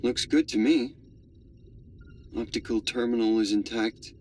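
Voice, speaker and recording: An actor playing a calm, dutiful astronaut crewmate in a cinematic sci-fi game, speaking in an understated, matter-of-fact way. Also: male voice, medium pitch, measured pace, slight background noise, close to an everyday voice. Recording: music behind the voice.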